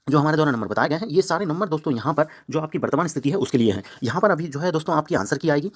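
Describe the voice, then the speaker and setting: A man's voice: a little high, animated, very fast. An Indian man presenting an exam-prep explainer, addressing listeners as friends and often repeating himself. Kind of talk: explainer talk to viewers.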